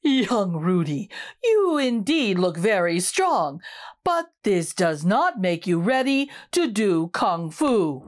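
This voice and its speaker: A wise old broccoli master from a storybook, played by a woman. The voice drops lower than the narration, measured, amused and a little patronising. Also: sing-song. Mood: gently dismissive.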